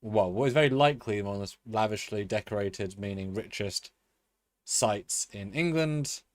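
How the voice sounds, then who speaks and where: A man with a fairly low voice who rambles in a chatty way, restarting his sentences as he goes. He co-hosts a casual live stream, and the sound is dry and close with no echo. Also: normal speed. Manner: rambling, many fillers and restarts. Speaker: co-host. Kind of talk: live-stream review chat.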